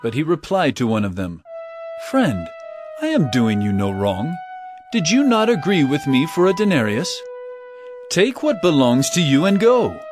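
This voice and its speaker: A man playing an authoritative teacher in a Bible audio drama, speaking in a measured, solemn, instructive way. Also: a little high, wildly swooping pitch, over music. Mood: firm, reasoning. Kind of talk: audio drama scripture storytelling.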